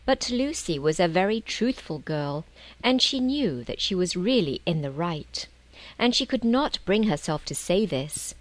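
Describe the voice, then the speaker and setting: A woman narrating a children's storybook in an expressive, measured audiobook style. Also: lowish voice, dry recording, rough recording.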